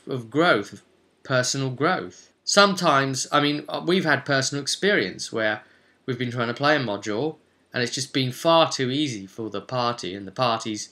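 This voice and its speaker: A man's voice: mid-range voice, pushed and bright. A man presenting a tabletop role-playing video as an enthusiastic explainer, emphatic and chatty, with rhetorical asides to viewers.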